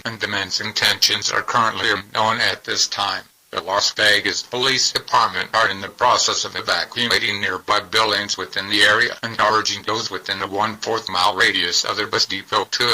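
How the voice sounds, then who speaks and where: Male emergency alert announcer, lowish pitch, piercingly bright tone, formal and impersonal scripted delivery. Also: rough recording. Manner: formal, impersonal, flat official reading.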